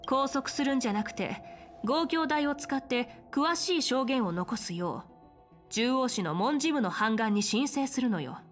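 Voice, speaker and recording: An actress playing a confident female military general in a fantasy game, speaking in an assertive, measured and frank manner. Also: high-pitched, lively intonation, soft background music. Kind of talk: dramatic Japanese game voice acting.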